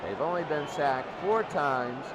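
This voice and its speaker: Male sports commentator, fairly high-pitched, unscripted stat rundown, very noisy recording. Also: a little slow. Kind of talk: unscripted statistics rundown before a game.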